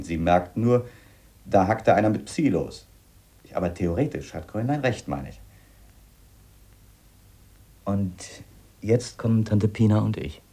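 An eccentric scientist in a radio play, with a very deep, gravelly voice. He muses earnestly, explaining his obsession and admitting his doubts as he feels his way from thought to thought. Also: mellow. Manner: reflective, halting, explanatory. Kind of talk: radio drama monologue in letter form.

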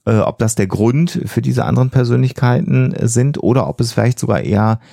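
A man explaining psychology on a podcast in a measured way, hesitating as he searches for precise wording. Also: medium pitch, creaky, dark tone, no echo, studio quality.

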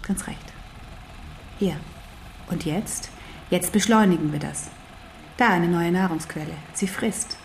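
Low-voiced, precise female teacher. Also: slow pace, creaky, pauses often, a little hiss or hum. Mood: instructing. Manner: didactic, precise, instructing. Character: female non-human teacher, learned, patient, firm. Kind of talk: German radio drama acting.

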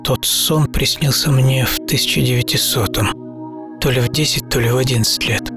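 A man delivers scripted first-person narration like an audio play, measured and theatrical. He leaves pauses between short phrases. His mid-pitched voice sits over very prominent music. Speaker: author and narrator of a radio programme. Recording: loud music under the voice.

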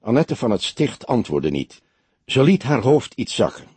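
A man's voice: mid-range voice, sing-song, measured pace, warm tone, slightly rough, dry recording. A man reading a crime novel aloud as scripted audiobook narration.

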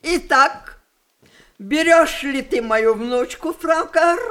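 Mischievous old matriarch, high voice, commanding, capricious, theatrical radio drama delivery. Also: female voice, slow, fairly bright tone. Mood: triumphant. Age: older. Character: willful, mischievous old grandmother, matriarch of the family. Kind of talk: Russian stage-play radio acting.

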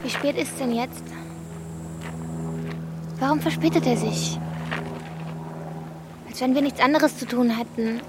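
A woman's voice: sits high, measured pace, pauses often, heavy background noise. A woman playing a blunt, wary thirteen-year-old village girl in a radio drama, with terse replies. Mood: blunt, needling.

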